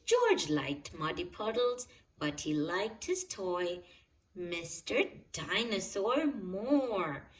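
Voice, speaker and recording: Female storybook reader for young children; expressive, unhurried delivery, lively melody. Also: mid-range voice, far from the mic. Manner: expressive, addresses young listeners directly. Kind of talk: read-aloud children's story narration.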